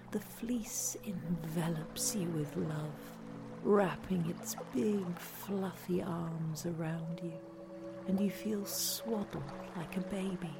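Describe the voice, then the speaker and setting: A woman reading a scripted guided relaxation in a soothing, hushed, deadpan way. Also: fairly low pitch. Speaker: narrator, reader. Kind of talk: scripted guided relaxation narration, parody meditation. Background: music.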